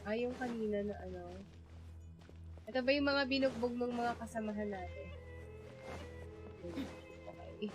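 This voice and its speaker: A woman's voice: fairly high pitch, moderate pace, pauses often, rough recording. A woman with a Filipino accent, a native Tagalog speaker, giving casual live reactions as a game streamer. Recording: game music clearly behind the voice.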